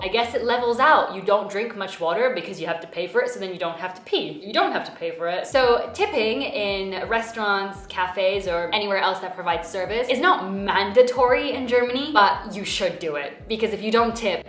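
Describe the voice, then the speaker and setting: A woman's voice: mid-range voice, a bit bright. A young woman from Belgium talking casually and frankly to camera in a vlog, mildly indignant at times. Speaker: vlogger. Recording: roomy indoor echo.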